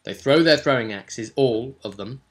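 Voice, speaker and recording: A man casually recapping a tabletop roleplaying session as game master, telling it in an animated storytelling way. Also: mid-range voice. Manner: animated storytelling, slipping into quick character quotes.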